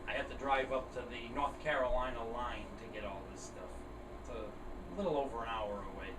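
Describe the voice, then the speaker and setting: A man's voice: mid-range voice, fairly quick. A man chatting plainly and casually, as in a rambling hobby show-and-tell video. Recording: roomy, distant mic, clear air-conditioner noise. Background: air conditioner running.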